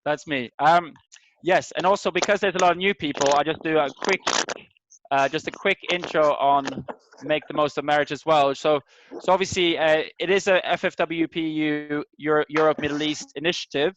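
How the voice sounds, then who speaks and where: A man's voice: on the high side, fairly even intonation, moderate pace, fairly bright tone, slight background noise. A middle-aged man from Sweden informally hosting an online group meeting, searching for his words as he welcomes and introduces people on a video call. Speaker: host of an online community meeting. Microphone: video call microphone. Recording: dull, lo-fi video call audio.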